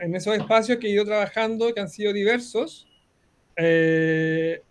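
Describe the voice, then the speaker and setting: A Chilean man in his thirties, a science educator, explaining his views clearly as an interview guest. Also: high voice. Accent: Chilean Spanish. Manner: articulate, explanatory. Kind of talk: interview answer.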